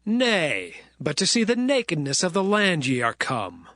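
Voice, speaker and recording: A man's voice: a little high, huge pitch swings, measured pace, a bit bright, no echo, clean studio sound, pitched above the performer's own voice, exaggerated voice. A man voicing a solemn young governor of ancient Egypt, declaiming in a dramatized Bible audio story. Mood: stern, accusing. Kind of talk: dramatized scripture reading.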